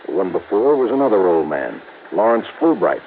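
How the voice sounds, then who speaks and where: A man's voice: deep voice, sing-song, brisk. An actor playing a blunt, plain-spoken male police sergeant in an old radio drama. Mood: matter-of-fact. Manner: plain-spoken, matter-of-fact. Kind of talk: 1950s radio drama acting. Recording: muffled old radio broadcast with hiss.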